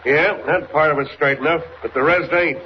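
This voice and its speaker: A man's voice: on the high side, moderate pace, natural voice. An actor playing a suspicious American police sergeant in 1940s radio drama, blunt, clipped and colloquial in his questioning. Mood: suspicious, demanding. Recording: muffled, noisy vintage radio recording.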